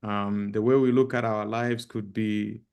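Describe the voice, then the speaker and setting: Male guest speaker, Zambian accent. Deep-ish, creaky voice, halting informal presentation style. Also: steady tone, darkish tone. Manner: halting, with repeated words and frequent pauses. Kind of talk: informal presentation with personal introduction.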